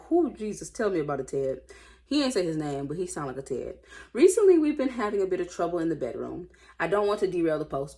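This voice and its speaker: A woman's voice: low voice, a little mellow. An American woman casually reading stories aloud with informal, sassy and blunt running commentary.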